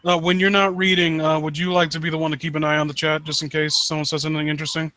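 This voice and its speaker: A man hosting a casual live stream, talking in a halting, rambling way with joking, foul-mouthed asides. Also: fairly high pitch, level tone, bright, forceful tone. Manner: rambling, halting, self-interrupting. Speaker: livestream host.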